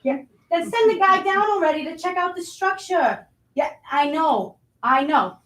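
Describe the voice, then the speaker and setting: A woman's voice: very high-pitched, animated, moderate pace, a bit bright. A woman playing an abrasive, impatient, foul-mouthed restaurant boss in a staged reading, brash, blunt and badgering. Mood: irritated, scornful. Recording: roomy echo.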